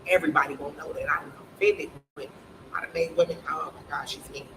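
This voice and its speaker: A woman in a casual group discussion with a deep, aggressive-sounding voice, talking bluntly and insistently with plenty of swearing. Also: fairly even intonation, quick pace. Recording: distant mic with clear background noise.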